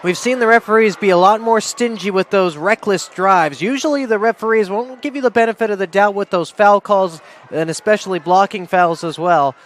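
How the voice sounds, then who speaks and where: A man with a high-pitched voice offers color commentary on a live basketball game. There is faint gym noise and the sound of bouncing balls behind him. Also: brisk, hardly any pauses.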